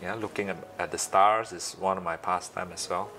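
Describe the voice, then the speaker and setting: Low-voiced Malaysian man, halting delivery. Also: steady tone, sharp tone, a little hiss or hum. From Malaysia, east coast. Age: late 30s. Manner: halting, pausing often between phrases. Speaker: interview guest, entrepreneur. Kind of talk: conversational interview.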